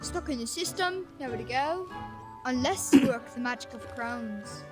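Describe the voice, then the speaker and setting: Brash, chatty street kid; high-pitched, with game score behind. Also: female voice, lively intonation, normal speed. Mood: sly. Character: cheeky street-smart kid, talkative. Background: game music.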